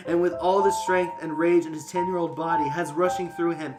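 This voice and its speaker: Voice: medium pitch, fairly even intonation, brisk. A podcast host reading a fantasy novel aloud and bantering casually, prone to giggling. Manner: giggly, breaking into laughter. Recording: music behind the voice.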